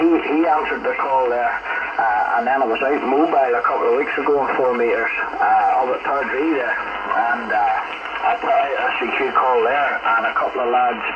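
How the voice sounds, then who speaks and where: Northern Irish man on a very noisy radio. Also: medium pitch. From Northern Ireland. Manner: chatty, rambling, with colloquial tags. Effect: two-way radio transmission. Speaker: amateur radio operator. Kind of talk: casual radio contact chat. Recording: muffled, noisy shortwave radio signal, loud static. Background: loud radio static.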